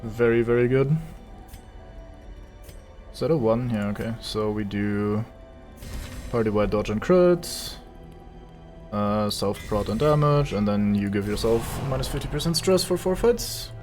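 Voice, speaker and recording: A man's voice: lowish voice, lively intonation, measured pace, pauses often, darkish tone, soft background music. A man from Germany with a German accent, giving casual, sarcastic commentary as he streams a game and swears at it.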